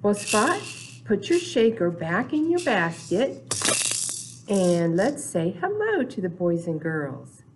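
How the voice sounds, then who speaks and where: An older woman leads a storytime for little children. She speaks extremely slowly, with a pitch that rises and dips widely, in short simple phrases with playful sound words. There is clear background noise in the recording. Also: sing-song. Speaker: children's storytime presenter at a library. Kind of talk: storytime for small children, talking, chanting and reading aloud. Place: small indoor room, seen seated before a wall.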